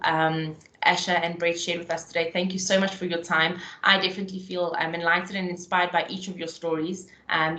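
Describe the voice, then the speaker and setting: A woman wraps up a webinar as moderator, thanking the speakers and filling her pauses with "um". Her voice sits on the lower side for a woman and rings in an echoey room. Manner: warm thanks, many "um" fillers. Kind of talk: closing remarks.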